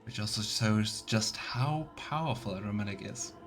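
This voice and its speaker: A man's voice: on the low side, moderate pace, over music. A man hosting a live let's play, chatting casually and thinking aloud as he comments. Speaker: streamer, let's play host.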